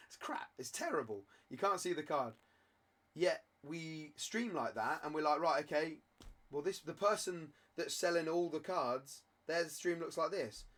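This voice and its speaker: A man who streams and sells cards, talking earnestly to camera in a rambling way, his voice croaky from a cough. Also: fairly high pitch, fairly bright tone. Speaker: card-selling live streamer. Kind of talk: candid motivational talk to camera.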